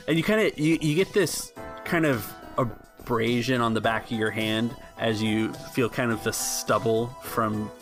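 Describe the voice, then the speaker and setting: Male game master, on the low side, conversational tabletop narration over a music bed. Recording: music behind the voice.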